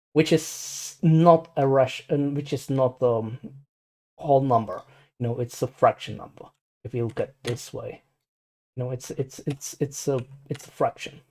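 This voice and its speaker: A man's voice: medium pitch, warm tone. A man with a Czech accent explaining casually and unscripted as an amateur, rambling hesitantly with repeated words and apologies. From Czech Republic. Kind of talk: casual unscripted screen tutorial.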